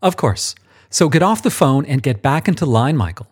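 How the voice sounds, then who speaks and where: Imperious male archangel boss, condescending and self-important, acted by a man pitched up and pushy. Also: lively intonation, moderate pace, slightly rough. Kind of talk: audiobook character voice.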